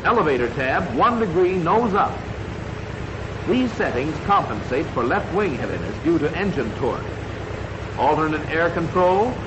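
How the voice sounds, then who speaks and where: 1940s training film narrator: American male, measured and instructional, animated intonation, noisy archival sound. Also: fairly high pitch. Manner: instructional, measured, clearly enunciated.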